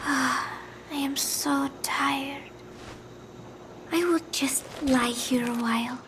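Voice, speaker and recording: Frail, haunted young woman for game drama: high, gentle, breathy, confused, slow and hesitant delivery. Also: fairly even intonation, noisy background. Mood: weary. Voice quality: breathy, often dropping to a whisper. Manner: halting, hesitant, fragmented phrases. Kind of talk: dramatic video game cutscene acting.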